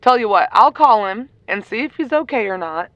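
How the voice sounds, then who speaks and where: A woman's voice: fairly high pitch, lively intonation, normal speed, no room sound, lightly acted. A woman playing a laid-back, teasing, reassuring friend in an audio roleplay, with a breezy, casual manner, on a slightly muffled recording.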